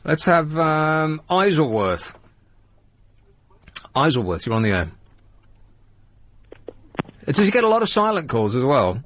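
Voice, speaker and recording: A man's voice: on the high side, sing-song, unhurried, pauses often, fairly bright tone, slight background noise. A man hosting a live radio phone-in, chatting and telling stories in a dry, exasperated way. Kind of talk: casual live phone-in chat and anecdote.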